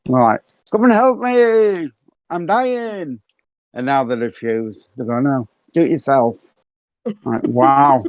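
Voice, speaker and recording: A man's voice: medium pitch, takes it slowly, no room sound. A middle-aged man hosting a podcast in a rambling, self-mocking casual chat, close to a dry mic. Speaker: podcast host.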